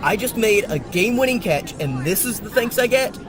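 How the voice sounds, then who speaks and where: Man putting on a high, petulant young athlete. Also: expressive, fairly quick, a crowd in the background, noisy background. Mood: indignant. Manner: petulant, stammering. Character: young, entitled, indignant male star athlete. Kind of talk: audio drama character acting.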